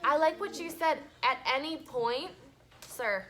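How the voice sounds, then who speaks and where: A woman teacher reading a story to children and discussing it with them in an animated, conversational way, often checking in with questions. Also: high voice, a little slow, crowd noise. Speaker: elementary school teacher. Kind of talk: children's story read-aloud with class discussion. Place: classroom with children.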